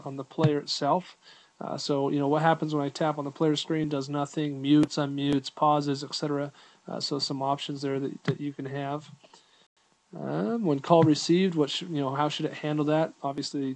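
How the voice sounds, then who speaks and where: A man giving a casual, unscripted app walkthrough, explaining things in an informal, easygoing way as a reviewer. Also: on the high side.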